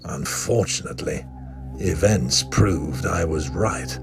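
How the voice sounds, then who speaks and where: Casting: grave male doctor-narrator for audio drama; low register, slow deliberate delivery. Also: vocal fry, over music, full character voice. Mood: grave, ominous. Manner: solemn, deliberate, ominous.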